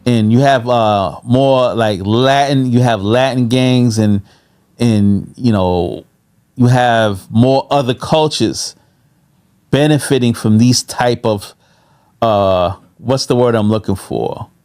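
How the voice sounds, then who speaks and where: A man's voice: mid-range voice, slow, darkish tone, smooth. A man chatting as a podcast co-host, often repeating words as he searches for his phrasing. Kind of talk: casual podcast conversation.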